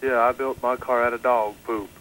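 A man's voice: mid-range voice, level tone, normal speed, fairly bright tone. A young man making a prank call to a live show over the telephone. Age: young adult. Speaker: prank caller. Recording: faintly noisy old phone-in tape.

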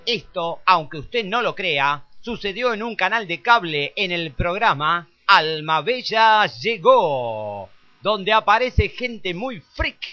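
A man's voice: high-pitched, expressive, slow pace, sharp tone. A man announcing a scripted comic introduction. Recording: dull lo-fi audio with music.

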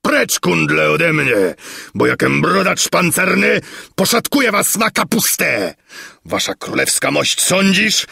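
A fearless, blustering storybook warrior, played by a male reader. He bellows his boasts in a rough, husky voice that rises and falls dramatically with swaggering ferocity. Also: fairly high pitch, sharp tone, dry recording, clean studio sound, pitched above the performer's own voice, exaggerated voice. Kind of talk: theatrical fairy-tale storybook reading.

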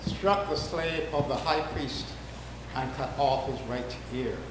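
A man giving a solemn, deliberate dramatic reading of scripture in a church. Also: mid-range voice. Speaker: reader in a church Passion reading. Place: church, before a congregation. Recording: echoey church, clearly noisy background.

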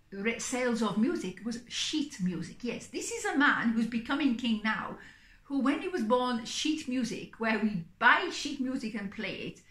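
A woman's voice: a little high, fairly bright tone. A middle-aged woman chatting expressively and theatrically to camera as a psychic entertainer hosting her own vlog. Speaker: singing psychic performer and host. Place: small indoor room, seated on a sofa. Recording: echoey room.

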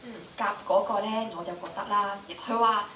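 A woman's voice: medium pitch, fairly even intonation, moderate pace. A young female student with a Hong Kong Cantonese accent, explaining her answer aloud in class. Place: large lecture hall, among the audience. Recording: echoey hall, distant, background noise.

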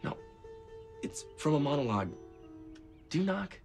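A young would-be actor with a very lively, swooping delivery. He is theatrical and quick to get exasperated, in a naturalistic screen style. Light music sits behind his voice. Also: fairly high pitch, gravelly. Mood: annoyed.

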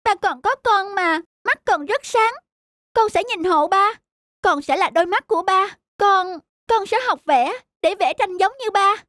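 A woman's voice: very high-pitched, measured pace, a bit bright, dry recording, clean studio sound. A woman voicing an earnest, loving little girl in a cartoon, childlike and pleading. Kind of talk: dubbed cartoon dialogue.

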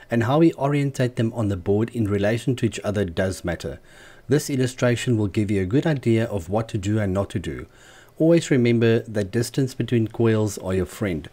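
Male tutorial narrator, lower-pitched and very mellow, measured and explanatory delivery for scripted instruction. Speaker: host of a DIY loudspeaker channel.

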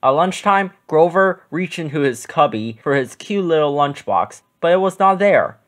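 Children's storybook narrator, mid-pitched, animated intonation, read-aloud. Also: normal speed.

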